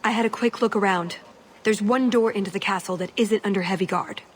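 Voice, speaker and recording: An actress playing a quick-witted, practical elf rogue in a video game, talking in a dry, casual, cheeky way. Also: female voice, medium pitch, slight background noise, close to an everyday voice. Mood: wry. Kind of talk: video game cutscene acting.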